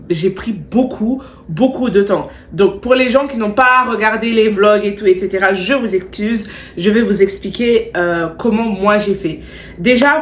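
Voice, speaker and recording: A young woman talks to her followers in a lively, slangy way, stacking repeated words for emphasis. Her voice sounds a little stuffy from a cold, sitting at a middle pitch. The room around her is echoey. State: stuffy, still has a cold. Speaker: beauty vlogger. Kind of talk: chatty storytime vlog with advice.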